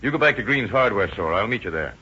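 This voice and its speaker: An actor playing a shrewd, confident police officer with an American accent, methodical and authoritative, in 1930s radio drama style. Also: male voice, lowish voice, animated, fast talker, natural voice. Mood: alert. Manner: methodical, authoritative, plain-spoken. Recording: dull, noisy old radio recording.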